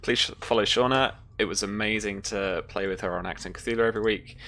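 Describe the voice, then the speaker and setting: A woman's voice: a bass voice, fairly quick, fairly bright tone. A woman hosting a casual stream wrap-up as game master, thanking people often. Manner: appreciative, thanks others often. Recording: clear background noise and ambience.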